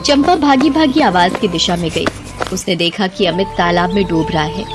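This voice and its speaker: A woman's voice: lowish voice, normal speed, over music. A woman narrating a scripted Hindi story in a dramatic storytelling style.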